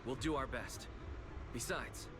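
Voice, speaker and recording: A man's voice: mid-range voice, slow, over music, close to an everyday voice. Man acting a loyal, determined young game hero. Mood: determined, reassuring.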